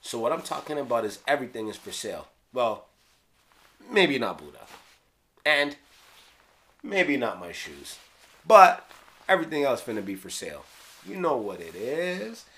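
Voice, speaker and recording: A Jamaican man in his thirties casually explaining his own rap lyrics in a small room, playful and slangy, sometimes dropping to a hush. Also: medium pitch, big pitch swings, moderate pace, pauses often. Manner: playful, slangy, drops into hushed asides. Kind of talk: casual solo video commentary. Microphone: studio mic on stand.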